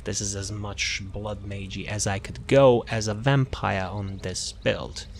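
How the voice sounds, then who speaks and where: A man's voice: on the low side, steady tone, unhurried, vocal fry. A man hosting a gaming video, talking casually through a character build in a rambling, self-interrupting way and often trailing off. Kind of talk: casual game build walkthrough commentary.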